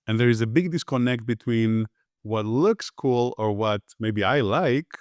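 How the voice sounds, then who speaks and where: A man from Greece, a podcast guest, giving relaxed conversational answers with frequent fillers and restarts. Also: mid-range voice, unhurried, no room sound, studio quality. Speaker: podcast guest, agency founder.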